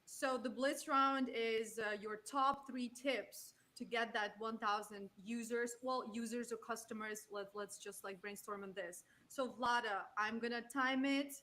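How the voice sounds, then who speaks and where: A woman's voice: fairly high pitch, steady tone, a little slow, few pauses, bright, forceful tone. A woman from Ukraine, co-hosting a casual live-stream chat. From Odessa, Ukraine. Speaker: show co-host.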